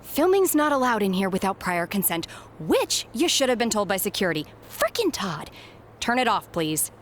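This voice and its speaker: A brusque, exasperated woman played by an actress runs recruiting in a wrestling game story. Her delivery is sarcastic and no-nonsense with lively swings in pitch, over a noisy background. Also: moderate pace, natural voice. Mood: stern, sarcastic. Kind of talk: video game cutscene voice acting.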